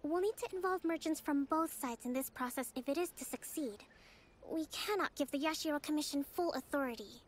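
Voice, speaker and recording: A woman's voice: high-pitched, a little fast, a little hiss or hum, lightly acted. A woman playing a poised, firm young island leader in a video game, speaking politely and articulately like a diplomat. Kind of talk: scripted video game dialogue.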